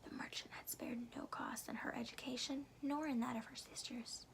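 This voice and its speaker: A woman softly and carefully whispering in a hushed, breathy ASMR style, as a storyteller reading to the listener. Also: on the high side, steady tone, fairly quick. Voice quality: breathy whisper with some creak. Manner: soft, careful, hushed delivery.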